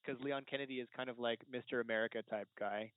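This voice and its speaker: A man's voice: medium pitch, fairly even intonation, fast, smooth. Man hosting chat over tinny call. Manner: jokey, laughs readily. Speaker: podcast host. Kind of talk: casual chat, film discussion. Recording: tinny, dry, band-limited call audio.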